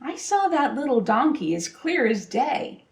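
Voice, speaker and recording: A woman's voice: sits high, very animated, a little slow, heavily acted. Woman reading as a bewildered muttering lion, roomy. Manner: puzzled muttering. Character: mean hungry male lion, bewildered, muttering. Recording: roomy echo, distant microphone.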